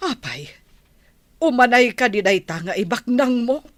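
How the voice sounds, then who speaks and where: A woman's voice: fairly high pitch, expressive, measured pace, no room sound. A woman with an Ilocano accent playing a worried, careful village mother and wife in a radio drama. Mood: anxious pleading.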